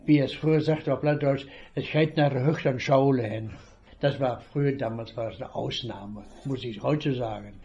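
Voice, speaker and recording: Elderly man in his eighties, slow and halting. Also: medium pitch, a little mellow, muffled recording. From northwest Germany. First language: Low German. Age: 80s. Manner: slow, halting storytelling with restarts. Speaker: retired veterinarian. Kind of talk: reminiscing about childhood.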